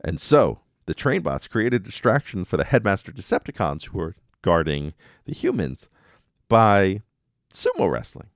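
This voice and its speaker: A man's voice: sits low, wildly swooping pitch, moderate pace, creaky, dry recording, studio quality. A man hosting a podcast, casually recapping episodes with wry, jokey asides. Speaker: podcast host.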